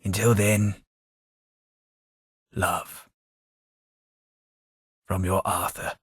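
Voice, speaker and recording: A man voicing a genteel, radiation-scarred London gentleman in a video game, formal and dryly witty with old-fashioned diction. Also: sits low, little pitch movement, very slow, slightly rough, no room sound, studio quality, heavily acted. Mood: sorrowful. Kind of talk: video game character dialogue.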